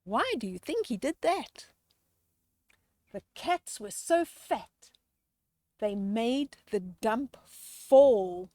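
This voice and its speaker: A woman reads a bedtime picture book to children in a fairly high voice. She goes very slowly, leaning on words expressively and chatting to the listener, and the sound is close and dry. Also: dry recording. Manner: expressive, drawn-out emphasis, chatty questions to the listener. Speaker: children's storyteller.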